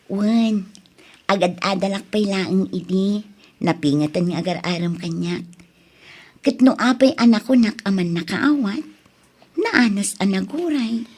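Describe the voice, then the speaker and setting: A woman's voice: medium pitch, lively intonation, a little slow, pauses often, darkish tone. A woman playing a playful, shy young student in an Ilocano audio drama, speaking with an Ilocano Filipino accent and a teasing, casual manner. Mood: earnest, coy. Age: young adult.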